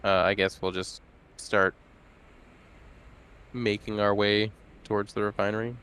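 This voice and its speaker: A man's voice: on the low side, level tone, a little slow, fairly bright tone, clear, resonant tone. A man playing in a tabletop role-playing game, asking the game master laid-back, hesitant questions. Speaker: player. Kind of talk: casual chat at a game table.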